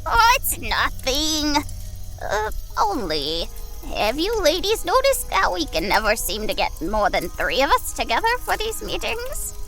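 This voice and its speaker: Dim sweet witch, very high, swooping intonation, naive, earnest, audio drama comedy. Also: huge pitch swings, a little slow, vocal fry, fairly bright tone, soft background music, full character voice, low-quality recording. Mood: puzzled, innocent.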